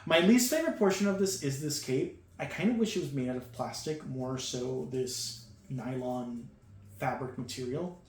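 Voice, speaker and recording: A man's voice: medium pitch, normal speed. Gushing man unboxing toys, echoey room. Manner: enthusiastic, gushing, with frequent fillers. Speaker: toy reviewer. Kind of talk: casual unboxing commentary. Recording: echoey room with faint hum.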